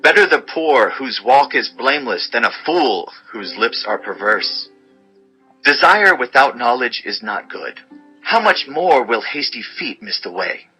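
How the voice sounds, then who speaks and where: A man narrating an audio Bible as a dramatized scripture reading, with measured, declamatory delivery of short sayings. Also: mid-range voice, sing-song, sharp tone, faint music behind, rough recording.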